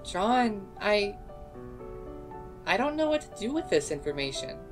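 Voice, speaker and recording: A woman's voice: mid-range voice, very animated, unhurried, music in the background. A woman playing a caring but hurt, blunt young woman in a fan dub drama, speaking directly and earnestly. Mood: hurt, exasperated.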